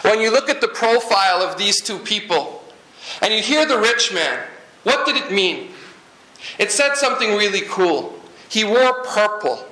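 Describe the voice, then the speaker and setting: High, bright-voiced man preaching. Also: unhurried, reverberant, rough recording. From Guyana. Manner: lively, humorous preaching with asides. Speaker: pastor. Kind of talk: sermon.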